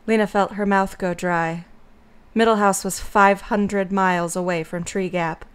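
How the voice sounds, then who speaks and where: A woman's voice: mid-range voice, fairly even intonation, a little slow, smooth. A woman narrating a scripted story as the narrator of an audio drama.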